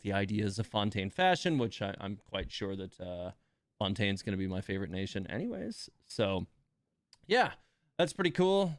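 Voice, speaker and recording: A man's voice: medium pitch, clean tone, no echo, studio quality. A man hosting a game livestream, chatting casually and informally to his viewers in a rambling way.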